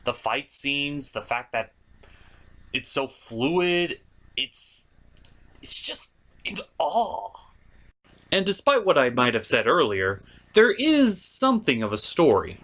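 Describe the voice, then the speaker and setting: A man's voice: mid-range voice, pushed and bright. A man hosting a review show, giving informal scripted commentary in an opinionated, conversational way with asides to the viewer. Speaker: host of a cartoon review show.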